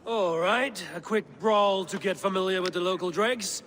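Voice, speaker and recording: A man's voice: high voice, moderate pace, fairly bright tone, slight background noise. A man playing a blunt, practical adult Viking warrior in a video game. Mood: wry. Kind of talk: video game cutscene acting.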